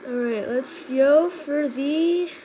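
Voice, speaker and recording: A woman's voice: high-pitched, takes it slowly, warm tone. A woman hosting a casual hands-on gadget demonstration, talking informally and muttering asides to the device. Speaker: hobbyist video host. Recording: noisy, with alert tones and sirens. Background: emergency alert tones and sirens.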